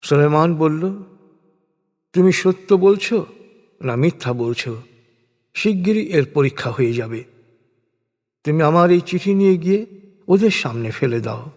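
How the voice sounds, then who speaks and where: A man reading a scripted scripture translation in a measured, solemn, deliberate manner. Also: fairly high pitch, a little mellow. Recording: long hall reverb on voice.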